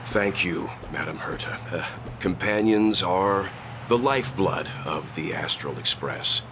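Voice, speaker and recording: A man voice-acting a composed, courteous, dependable male space traveller in a video game, speaking in an earnest, polite, measured way. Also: low-pitched, noisy background. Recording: loud music behind the voice.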